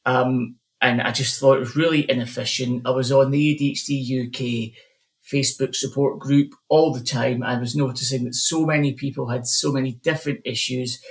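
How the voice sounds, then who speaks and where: A man's voice: mid-range voice, fairly even intonation, normal speed, gravelly. A man with a Glasgow accent, chatting on a podcast as a new co-presenter, talking in a loose, rambling way with lots of fillers. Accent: Glaswegian. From Scotland. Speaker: guest co-presenter, charity ambassador. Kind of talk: casual podcast chat.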